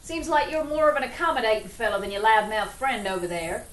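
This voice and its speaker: A woman's voice: fairly high pitch, brisk, lightly acted. A woman playing a tough, foul-mouthed boxer in an audio drama, blunt and sardonic. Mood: taunting. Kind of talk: dramatic audio fiction acting. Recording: echoey, slightly noisy battle scene.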